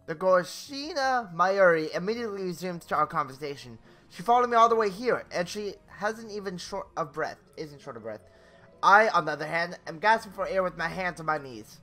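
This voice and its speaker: A young man with a high, forceful voice reads out a game story in a theatrical way. He trips over words now and then and slips into casual talk. Music plays softly behind him. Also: animated, normal speed. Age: young adult. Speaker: gaming video host. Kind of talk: reading visual novel narration aloud with casual asides. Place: small home bedroom.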